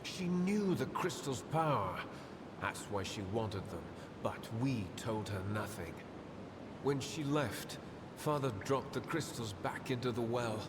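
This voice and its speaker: A man acting a fervent, obsessed young acolyte in a video game, speaking with dreamy, halting reverence. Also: animated, close to an everyday voice. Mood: defiant fervour. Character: obsessed young acolyte, crystal-mad killer, fervent and haunted. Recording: long cavernous reverb, loud rumbling noise.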